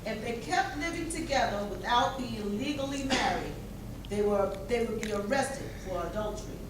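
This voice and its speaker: A woman's voice: fairly high pitch, animated, natural voice. A woman playing a formerly enslaved narrator, reciting solemnly and formally in a readers theater performance. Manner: formal, deliberate. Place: church hall. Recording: distant mic, echoey hall, clear background noise.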